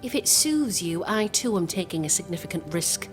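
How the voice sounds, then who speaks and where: An actress playing a composed, resolute plotter in a video game, speaking in formal, measured phrases. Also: female voice, on the low side, lively intonation, close to an everyday voice. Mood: serious, guarded. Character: composed, resolute woman plotting against a captain. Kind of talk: video game cutscene acting. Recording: prominent music underneath.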